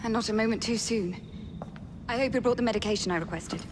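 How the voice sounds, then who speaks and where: A woman playing a brisk Victorian nurse. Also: on the high side, noisy background, natural voice. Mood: urgent. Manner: brisk, proper, businesslike. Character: composed Victorian nurse, middle-aged woman. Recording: game cutscene with music and long reverb.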